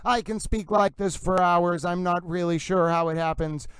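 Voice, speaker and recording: A man hosts a casual livestream, talking to viewers in a theatrical, gushing singsong that sounds a little breathless. His voice sits high and comes across very smooth and resonant. The sound is close and dry, with no room around it. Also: fairly even intonation, moderate pace, dry recording. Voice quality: slightly breathless. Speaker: livestream host.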